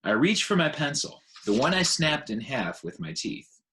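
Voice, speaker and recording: A man reading a children's book aloud in an expressive narrating style. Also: a little high, normal speed.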